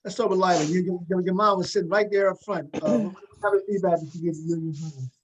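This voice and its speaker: Higher-voiced man hosting a talk show. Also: steady tone, quick pace, faint music behind, low-quality recording. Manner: repeats short phrases in acknowledgement. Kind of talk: casual interview with cast members.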